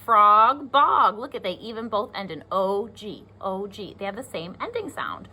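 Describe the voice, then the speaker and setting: A woman teacher reading aloud to pre-kindergarten children, expressive and sing-song, stressing rhyming words and pausing to talk to her listeners. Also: mid-range voice. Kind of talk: animated children's read-aloud with asides.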